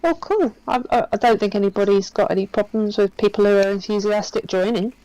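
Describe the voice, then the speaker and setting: A woman's voice: mid-range voice, mellow, no room sound, rough recording. A woman player chatting casually, easygoing and stumbling over her words. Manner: easygoing, stumbling, quick to laugh along. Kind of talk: casual chat between game sessions.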